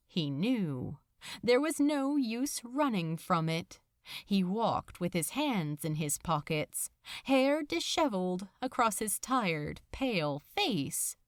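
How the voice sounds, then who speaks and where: Female story narrator, animated intonation, measured phrasing, slowish pace, dramatic scripted reading. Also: mid-range voice, no room sound, clean studio sound. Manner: measured, deliberate phrasing with pauses. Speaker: fan fiction narrator.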